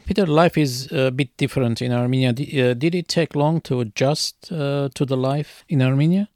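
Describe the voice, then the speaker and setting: A man's voice: mid-range voice, steady tone, moderate pace, darkish tone, no room sound, studio quality. Man asking brief interview questions. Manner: brief prompts and murmured agreement.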